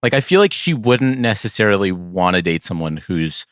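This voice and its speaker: A man's voice: lowish voice, sing-song, normal speed, clean tone, no room sound, studio quality. A man co-hosting a podcast in casual chat, musing and agreeable, a little hesitant. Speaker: podcast co-host.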